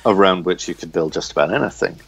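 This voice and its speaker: A man's voice: low-pitched, fast talker, vocal fry, fairly bright tone, husky. A man chatting as an interview guest, a writer who speaks hesitantly and often searches for words, on a lo-fi recording. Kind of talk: casual interview chat.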